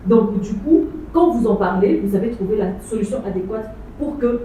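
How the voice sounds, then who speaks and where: A woman's voice: medium pitch, normal speed, dark tone. Woman arguing from a distance, echoey. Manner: insistent, repeats phrases, mixes in English and Lingala words. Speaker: panel guest. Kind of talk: casual debate on a street panel. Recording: echoey, distant mic, faint noise.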